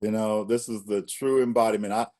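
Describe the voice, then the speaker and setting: A man's voice: fairly low pitch, fairly quick. A man in his forties, a spoken word poet, talking casually as an interview guest and telling stories with emphatic rises. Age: 40s. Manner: expressive storyteller, emphatic rises.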